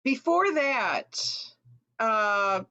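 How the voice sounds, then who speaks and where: Woman author from Chicago, Lithuanian as first language; earnest lecture voice, fairly high, over muffled call audio. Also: lively intonation, drawn-out words, pushed and bright. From Chicago, USA. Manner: earnest, reflective, with frequent ums. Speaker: author giving a talk. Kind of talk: online lecture presentation.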